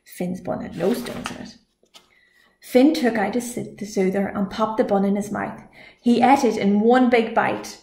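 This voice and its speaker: A woman's voice: mid-range voice, moderate pace, slight background noise. Woman reading a story, echoey room. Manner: expressive storytelling. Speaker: librarian reading a picture book. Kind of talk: children's storytime read-aloud.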